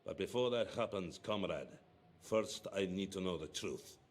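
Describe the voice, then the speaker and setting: A man playing a stern Soviet colonel in a game cutscene, speaking in a deliberate, taunting, commanding way. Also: low voice. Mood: coldly menacing. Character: stern, menacing Soviet colonel, interrogating an old subordinate. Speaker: actor voicing a game character. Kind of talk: dramatic cutscene acting. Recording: loud background noise.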